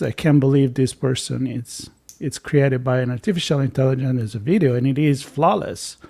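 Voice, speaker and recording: Co-host, man with an Italian accent, mid-pitched, musing and joking. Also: darkish tone. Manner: musing, joking, hesitant restarts. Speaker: podcast co-host. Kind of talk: casual interview chat.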